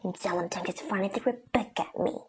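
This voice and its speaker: A woman's voice: medium pitch, quick pace, a little hiss or hum, lightly acted. A woman playing the sulky, self-pitying ghost of a teenage girl in an audio drama, whiny, accusing and melodramatic. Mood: wounded, accusing.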